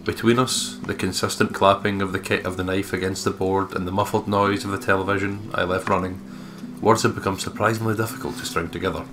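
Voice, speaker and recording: A man's voice: sits low, little pitch movement, fairly quick, over music. A man co-hosting a game playthrough, mixing casual commentary with reading aloud and joking asides. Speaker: co-host of a let's play, reading the on-screen text aloud.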